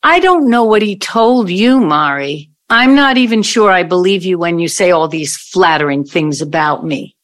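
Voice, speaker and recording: A woman's voice: fairly low pitch, animated, moderate pace, few pauses, a bit bright. A woman in an audio play acting an older ex-girlfriend who is hesitant at first, then bitterly accusing.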